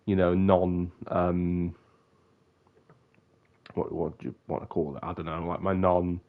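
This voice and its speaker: A man's voice: deep voice, level tone, unhurried, phone-quality sound. A young man with a bunged-up, sniffly voice, rambling casually on a live stream and often breaking off mid-sentence. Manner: rambling, self-interrupting.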